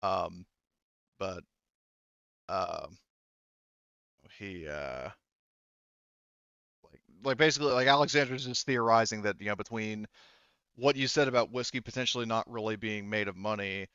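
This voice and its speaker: Rambling male game master, low smooth voice. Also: sharp tone, no room sound, highs cut off. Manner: halting, rambling. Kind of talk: casual tabletop game narration.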